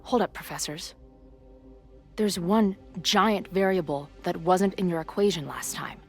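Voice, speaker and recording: A woman's voice: lowish voice, a little slow, a little mellow, soft background music. An actress playing a brash, sardonic young woman in a video game drama, blunt and slangy with a vulnerable streak. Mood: sardonic, defiant.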